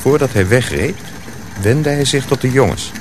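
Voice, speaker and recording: A man's voice: mid-range voice, animated, normal speed, background noise. A man narrating a radio drama from a script.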